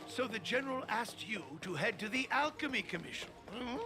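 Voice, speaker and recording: An actor playing an elderly, courteous artisan master in a video game, speaking in formal, old-fashioned, theatrical phrases. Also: male voice, very high voice, animated, fairly quick, music in the background. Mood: thoughtful.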